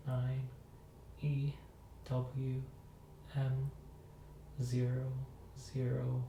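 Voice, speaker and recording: A man playing the patient in an ASMR medical roleplay, giving brief, cooperative answers and reading out slowly. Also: mid-range voice, monotone, creaky, mellow. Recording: echoey room with faint noise.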